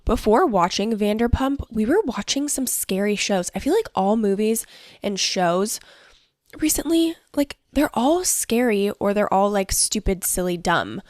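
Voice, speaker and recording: A woman's voice: medium pitch, animated, unhurried, darkish tone, no room sound, clean studio sound. A young woman hosting a casual solo podcast, chatty and upbeat, talking with a stuffy nose from allergies. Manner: bubbly, chatty, fillers like "like" and "honestly". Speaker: podcast host.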